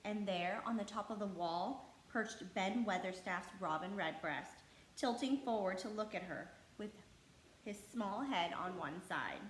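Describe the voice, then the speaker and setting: A woman's voice: mid-range voice, measured pace. Woman reading a storybook, echoey room. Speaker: read-aloud narrator. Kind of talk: scripted storybook narration for children. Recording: echoey room with faint background noise.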